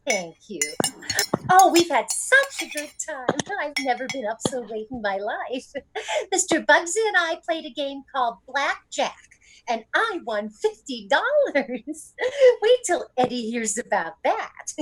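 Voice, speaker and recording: A woman's voice: high-pitched, very animated, a little slow, a bit bright, exaggerated voice, low-quality recording. A woman playing a sweet, naive, fluttery mother with genteel chatty ways in an old-time radio drama. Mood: cheerfully innocent.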